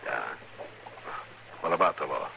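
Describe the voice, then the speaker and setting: An actor playing a steady, stern American frontier marshal, laconic and firm, in old-time radio western style. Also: male voice, very deep voice, measured pace, vocal fry, heavily acted. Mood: measured, firm. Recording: noisy old radio drama recording.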